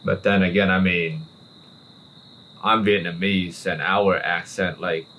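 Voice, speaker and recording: A man chatting on a cigar podcast in an unhurried, laid-back way, drawing out his exclamations. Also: sits low, steady tone, pushed and bright, slight background noise. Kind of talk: casual chat. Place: relaxed cigar-smoking hangout with friends.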